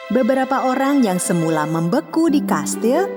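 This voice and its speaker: Lively woman narrating a cartoon tale. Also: a little high. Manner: calm, measured. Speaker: storyteller. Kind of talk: scripted storybook narration. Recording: long reverb with music behind.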